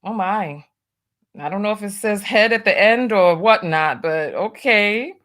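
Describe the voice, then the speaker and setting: A woman's voice: mid-range voice, unhurried, a bit bright. Chatty New York woman livestream host. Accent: New York, partly masked. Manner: chatty, wry asides, doing her makeup while talking. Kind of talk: casual livestream chat, reading viewer donations.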